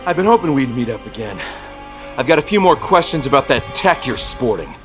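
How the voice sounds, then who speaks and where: Man playing a cocky, very animated young superhero. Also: medium pitch, fairly quick, music in the background, natural voice. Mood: swaggering, wry. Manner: breezy, sardonic. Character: cocky, wisecracking young male superhero photographer. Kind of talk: comedic script table read.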